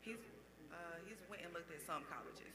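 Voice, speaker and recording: A man's voice: a little high, brisk, pushed and bright. A man in the audience asking a question, hesitant and full of fillers.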